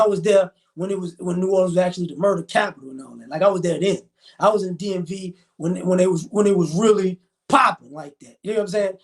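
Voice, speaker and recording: A man in his forties hosting a live stream, talking in a rambling, emphatic, slangy way. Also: sits high. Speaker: livestream host. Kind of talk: casual live-stream commentary.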